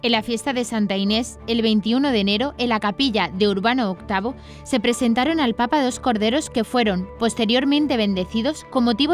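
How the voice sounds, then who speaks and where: Higher-pitched Spanish woman reading news. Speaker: news presenter. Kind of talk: scripted news narration. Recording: music under the voice.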